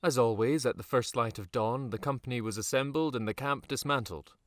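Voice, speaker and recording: A man reading a dark fantasy audiobook in a measured, dramatic storytelling manner with deliberate pauses. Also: medium pitch, no echo, studio quality. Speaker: author reading his own novel. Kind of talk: scripted audiobook narration.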